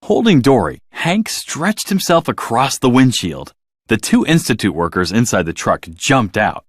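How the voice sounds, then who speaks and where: Male storybook narrator for children: dramatic, highly animated delivery at a middle pitch, recorded dry. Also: unhurried, no echo.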